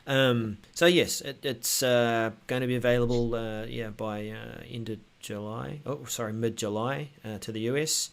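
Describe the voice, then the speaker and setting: A man co-hosting a tech show in a casual, halting way, thinking aloud as he explains updates. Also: medium pitch, steady tone, creaky. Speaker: show host.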